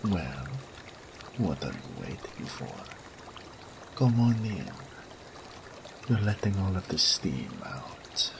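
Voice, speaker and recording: A man playing a suave, flirtatious naga oracle in a roleplay audio drama, formal and languid, purring and sometimes breathy, speaking of himself in the third person. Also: low-pitched, takes it slowly, pauses often, exaggerated voice. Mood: delighted, teasing. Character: suave, flirtatious male naga oracle, self-assured and teasing. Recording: steady water and ambience noise.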